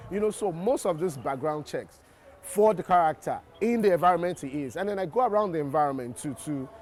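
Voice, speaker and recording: Nigerian male actor, interview guest, a bit high-pitched, thoughtful, repeats himself as he thinks. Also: expressive, a little fast, darkish tone, soft background music. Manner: reflective, restarts and repeats words while explaining. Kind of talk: interview answers.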